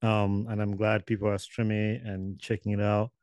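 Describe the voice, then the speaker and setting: A man's voice: lowish voice, little pitch movement, normal speed, a little mellow, dry recording. Rambling male podcast host, dry sound. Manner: rambling, self-correcting, chuckling. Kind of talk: casual interview chat. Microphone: video call.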